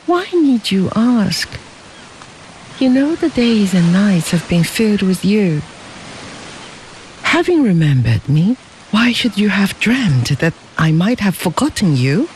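A reader voicing a gentle, devoted young wife in a storybook, speaking softly, tenderly and earnestly. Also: mid-range voice, big pitch swings, slow, a little hiss or hum, rough recording.

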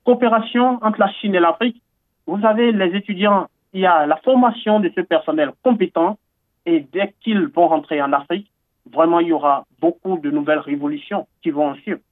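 A man's voice: sits high, brisk, dry recording. A man from Benin interviewed on the radio, talking earnestly and eagerly, with many hesitations and repeated words. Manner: earnest, enthusiastic, with frequent fillers and repetitions. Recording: tinny phone-like line, dry.

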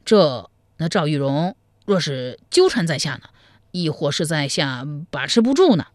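A man storyteller voicing an anxious, gullible young male suitor who pleads quickly and fretfully. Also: fairly high pitch, sing-song, no room sound, clean studio sound. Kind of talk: storytelling, acted dialogue.

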